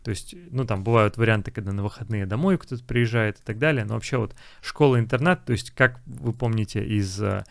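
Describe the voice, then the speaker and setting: A man's voice: fairly low pitch, a little fast, gravelly, few pauses, no room sound, clean studio sound. A young man, an English teacher, casually explaining film lines and repeating English phrases.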